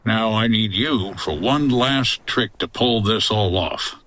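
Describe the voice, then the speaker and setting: A man's voice: fairly low pitch, takes it slowly, a bit bright. A man playing a wry, tough 1950s boat skipper in a comedy audio drama, talking in a clipped hardboiled way. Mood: scheming, wry.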